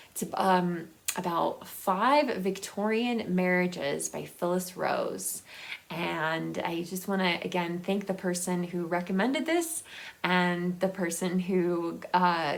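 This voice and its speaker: Female book vlogger, unhurried and rambling, enthusiastic, with noticeable vocal fry. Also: on the low side. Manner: chatty, rambling, often giggly; enthusiastic about books. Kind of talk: casual unscripted book chat.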